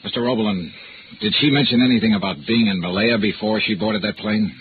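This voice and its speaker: An actor playing a hard-boiled insurance investigator, terse and dry, in an old radio detective drama with narration. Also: male voice, lowish voice, a little fast, a little hiss or hum, lightly acted. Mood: probing, terse. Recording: tinny old radio recording, music behind.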